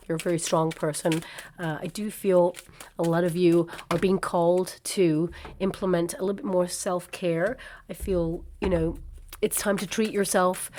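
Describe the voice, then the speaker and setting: A woman giving a gentle tarot reading to camera, her voice a little croaky from a cold, speaking in a soothing way with some ums and uhs. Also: on the low side, a little hiss or hum. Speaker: tarot reader.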